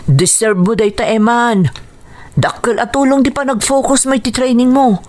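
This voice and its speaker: A woman's voice: fairly high pitch, fairly even intonation, normal speed, darkish tone, natural voice. Encouraging young friend played by a woman. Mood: warm, proud. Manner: earnest, encouraging. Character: supportive, spirited young friend cheering on a boxer. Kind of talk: radio drama acting.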